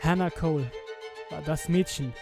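A young man acting a guilt-ridden, haunted twenty-year-old who gives a halting, emotional confession in a horror audio drama. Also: on the high side, gravelly. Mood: grave, remorseful. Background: music with violin.

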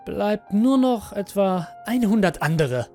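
Slow-speaking man narrating horror over music. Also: high-pitched, lively intonation, mellow. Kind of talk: scripted first-person horror audio story narration. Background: background music.

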